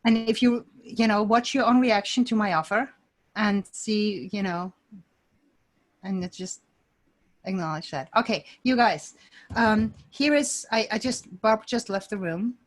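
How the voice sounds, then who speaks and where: A woman's voice: mid-range voice, unhurried. Woman leading an online group session. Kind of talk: casual group coaching talk. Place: online video call.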